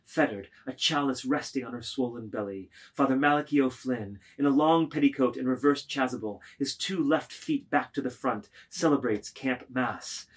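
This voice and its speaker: Declamatory male narrator, medium pitch. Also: normal speed, few pauses, husky. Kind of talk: scripted literary narration.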